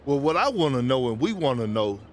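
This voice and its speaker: A man's voice: mid-range voice, sing-song, brisk, lightly acted. An actor playing an incredulous, confrontational male sports talk-show panelist in a video game, speaking emphatically and repeating himself for effect. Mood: provocative. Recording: clear background noise.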